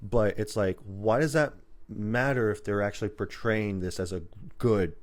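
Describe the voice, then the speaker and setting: A man's voice: fairly low pitch, moderate pace. A man co-hosting a podcast, chatting casually about games and movies in a rambling, emphatic, sometimes sweary way. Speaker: podcast co-host.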